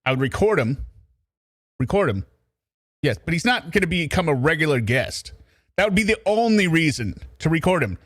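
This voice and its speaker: A man's voice: on the high side, sing-song, normal speed, long pauses, fairly bright tone, no room sound, clean studio sound. A man giving casual, off-the-cuff commentary as a livestream commentator.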